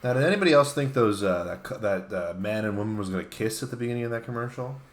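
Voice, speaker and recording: A man's voice: on the low side, expressive, fast. A man chatting casually and jokingly as a panelist. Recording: roomy, echoing sound.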